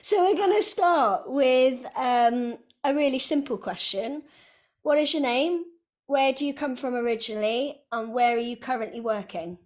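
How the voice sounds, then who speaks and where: A woman's voice: high-pitched, normal speed, a little mellow. A woman hosting a casual interview podcast, upbeat and chatty. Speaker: podcast host.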